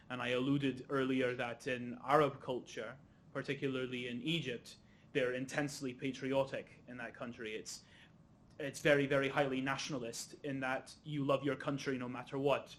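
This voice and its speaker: A young man with a slight Scottish accent moderating a panel discussion, speaking in measured, formal phrasing with repeated intensifiers. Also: medium pitch, a bit bright, slight background noise. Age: young adult.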